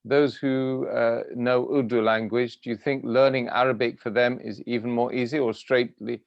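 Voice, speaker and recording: A man hosting an online question session, reading out viewer questions with a hesitant, halting manner. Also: mid-range voice, little pitch movement, creaky, no echo. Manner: hesitant, many fillers. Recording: dull dry call audio.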